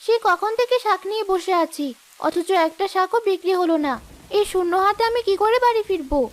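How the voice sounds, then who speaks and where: A woman's voice: very high-pitched, fairly quick. A woman voicing a poor, honest and determined village wife in a cartoon story, earnest and plaintive. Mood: sorrowful. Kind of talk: Bengali cartoon story dialogue.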